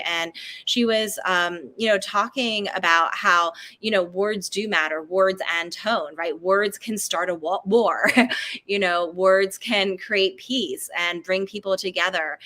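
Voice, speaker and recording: A woman's voice: fairly low pitch, bright, forceful tone. A woman from Hong Kong answering interview questions in a reflective, conversational way, often saying "like" and "you know". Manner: reflective, talks in loosely linked phrases with frequent "like" and "you know". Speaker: podcast guest, publicity and marketing strategist.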